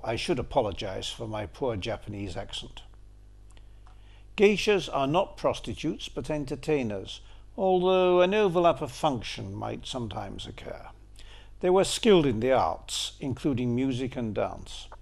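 A man's voice: mid-range voice, gravelly, a little mellow. An older man giving an illustrated lecture, with dry, wry asides. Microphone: seen on camera briefly, then voice-over.

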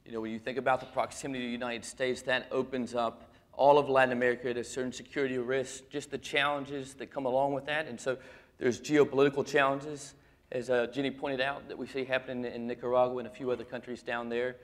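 A man's voice: mid-range voice, little pitch movement, fast. Confident man in his thirties on a panel. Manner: fluent, confident, businesslike. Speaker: nonprofit executive director, panelist. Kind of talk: conference panel answer. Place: indoor conference stage with audience.

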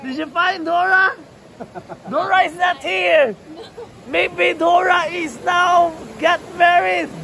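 High-voiced playful Filipino man. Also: steady tone, slow pace, gravelly, bright, forceful tone, a faint crowd. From Philippines. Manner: playful, teasing, repeats words. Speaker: vlogger. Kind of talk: casual vlog chat. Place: outdoors at a waterfall and forest. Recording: noisy outdoor camera audio.

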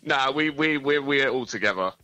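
An easygoing man from a band, chatting about his music in a relaxed way. His voice is bright and forward, and it sounds dry and close, with no room around it. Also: medium pitch, moderate pace, no echo, highs cut off. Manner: easygoing, hedging with "you know". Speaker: band member guest. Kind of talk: casual interview over the phone.

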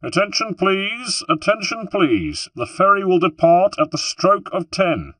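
Storybook role: servile, officious ferry captain done by a man, formal, animated, dry sound. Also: mid-range voice, normal speed, slightly rough, no room sound, pitched above the performer's own voice. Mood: loud announcing.

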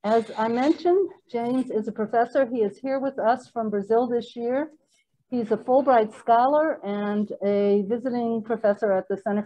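A woman with a Spanish and French accent, co-director of a human rights center, giving a formal, measured welcome and introducing a guest speaker at a hybrid talk. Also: mid-range voice, dark tone, low-quality recording. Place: lecture room at a hybrid event.

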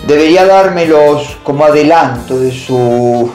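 A man's voice: on the high side, measured pace, a little mellow, lightly acted. A man playing a vain, flirtatious, fussy priest in a radio comedy, gushing and theatrical, with flustered stammers. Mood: greedy excitement. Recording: roomy echo, faint music.

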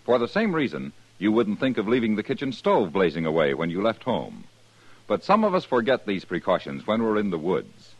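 A man's voice: lowish voice, a little fast, dry recording. A man reading a public service notice and the credits as a formal 1950s radio announcer. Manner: smooth, lively, formal announcer delivery. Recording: dull, dry 1950s radio broadcast.

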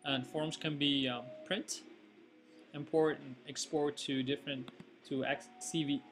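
A man walking through a software demo, hesitant and full of fillers. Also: fairly high pitch, fairly even intonation, measured pace, faint music behind.

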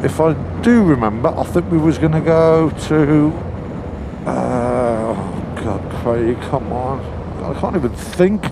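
A man's voice: medium pitch, creaky. A British man vlogging while riding a motorcycle, talking casually and rambling in a self-mocking way. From Britain. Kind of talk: casual helmet-cam riding commentary. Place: on a moving motorcycle outdoors. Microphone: helmet-mounted mic. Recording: wind and road noise behind.

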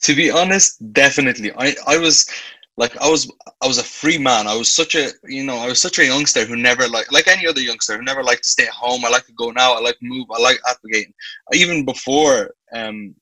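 A young man in his twenties with a Dublin Irish accent, speaking earnestly and reflectively over a video call. His voice is bright and forward, with a dry, close sound and no room echo. He often restarts his sentences as he works through his thoughts. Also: mid-range voice, quick pace. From Ireland. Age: 20s. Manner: earnest, with frequent restarts and "you know". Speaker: guest. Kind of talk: reflective conversational interview. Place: indoor room with light walls. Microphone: video call webcam.

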